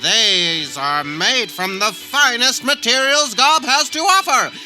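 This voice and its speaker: A woman playing a brash toy commercial pitch person in a parody radio ad, with exaggerated salesy enthusiasm. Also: animated, takes it slowly, sharp tone, faint music behind, exaggerated voice. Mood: hyped, selling.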